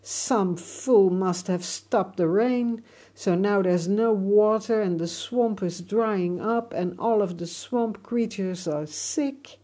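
A woman voicing a sick, grumpy male alligator who talks slowly and snaps irritably. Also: low voice, dark tone, breathy edge, exaggerated voice. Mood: snappish. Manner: slow, irritable and snapping.

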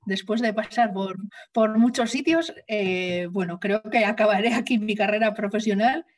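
A woman's voice: medium pitch, moderate pace. Guest: woman, thinking aloud, slightly distant from the mic. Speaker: guest, maintenance manager. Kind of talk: casual interview answers.

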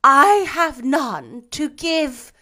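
A woman voicing a mournful male ghost bound in chains, booming and drawn out in a storybook reading. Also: animated, full character voice. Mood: wailing, mournful. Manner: loud, drawn-out, lamenting delivery.

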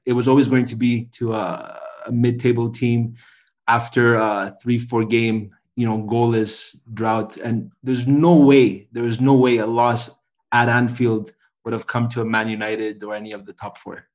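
A man's voice: mid-range voice, little pitch movement, unhurried. Male podcast host in sports banter. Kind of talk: casual podcast chat.

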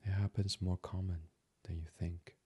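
A man hosting a guided sleep meditation in a soothing, hushed ASMR delivery that slips into a near-whisper. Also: low-pitched, flat delivery, moderate pace, slightly rough, no room sound, dull audio. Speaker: meditation podcast host.

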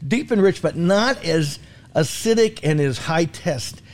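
A man's voice: fairly high pitch, expressive, unhurried. Male food commentator for casual radio chat, self-deprecating and jokey, repeats phrases for emphasis. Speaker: food commentator on a talk show.

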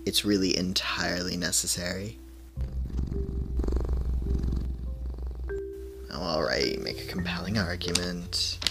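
A man playing a fussy, whimsical podcaster who mutters softly and playfully to himself and his cat in an audio roleplay. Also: low voice, normal speed, vocal fry, loud music behind the voice. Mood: playful, sheepish. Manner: soft-spoken, playful muttering to himself and a pet.

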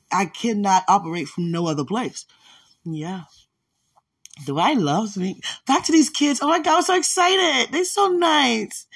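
A woman's voice: a little high, breathy edge. A woman podcast host giving informal, rambling faith talk, speaking intimately, as if to someone she loves. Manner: speaks intimately, as if to a loved one.

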